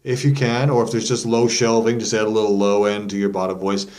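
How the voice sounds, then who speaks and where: A man's voice: fairly low pitch, level tone, fairly quick, darkish tone. A man speaking as a vocal coach, giving a casual, step-by-step explanation in an informal, demonstrative way. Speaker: vocal coach hosting an instructional video. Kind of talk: casual explanatory lesson to camera.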